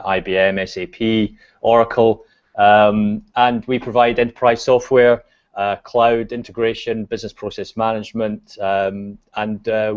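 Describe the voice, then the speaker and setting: A Scottish man, a guest on a video call show, chatting casually with relaxed fillers and restarts. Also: on the low side. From Scotland. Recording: dull lo-fi video call audio.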